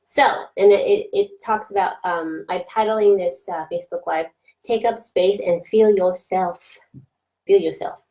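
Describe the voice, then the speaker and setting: A woman's voice: fairly low pitch, unhurried, warm tone, rough recording. A woman coach talking casually and reflectively on a live video stream, with frequent fillers and restarts. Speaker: coach for introverted business owners. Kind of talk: casual Facebook Live talk.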